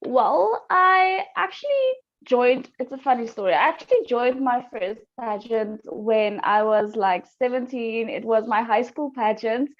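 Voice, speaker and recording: A woman's voice: a little high, animated, unhurried. Chatty South African-raised woman on a call. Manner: chatty, storytelling. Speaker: guest, pageant YouTuber. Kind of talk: casual video call chat. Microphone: video call. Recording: dull lo-fi call audio.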